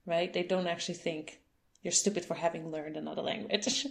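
A woman's voice: on the low side, steady tone, a little fast, gravelly. A young adult woman, interviewing in a chatty way and laughing often. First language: Dutch. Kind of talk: casual conversation.

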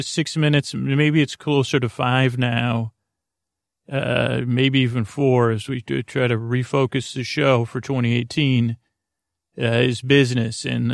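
A man hosting a sleep podcast in a creaky, mumbling, soothing voice, rambling haltingly with many fillers and restarts. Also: medium pitch, no echo, studio quality. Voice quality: mumbling, mushy-mouthed. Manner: lulling, soothing, meandering. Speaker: podcast host.

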